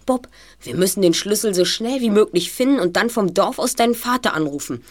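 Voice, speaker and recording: A woman's voice: mid-range voice, expressive, a little fast, lightly acted. A woman playing a clever, self-assured teenage boy detective in a radio play, speaking precisely and analytically. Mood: businesslike.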